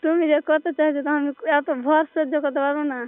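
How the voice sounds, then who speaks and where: A woman's voice: high-pitched, level tone, brisk, dry recording. A woman chatting casually and flirtatiously on a phone call in a rural Bengali dialect. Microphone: telephone. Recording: muffled narrow-band phone call.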